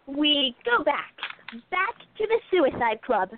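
A woman playing a determined, high-voiced sleuth. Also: sing-song, slow pace, noisy background, heavily acted. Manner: firm, determined. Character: bold, resolute young female sleuth. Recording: dry tinny phone-like line.

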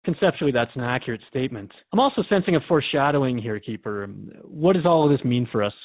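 Guy playing curious radio interviewer, talks fast, earnest and questioning, dry slightly muffled sound. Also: mid-range voice, expressive, no room sound, lightly acted. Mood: earnest, unsettled. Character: curious, puzzled male radio host interviewing a spirit. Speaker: radio host. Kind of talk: scripted radio drama interview.

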